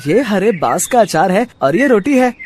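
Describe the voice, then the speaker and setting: A man voicing an innocent, chatty village child who explains things eagerly in an animated story. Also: high voice, normal speed, a little hiss or hum. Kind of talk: animated moral-story dialogue.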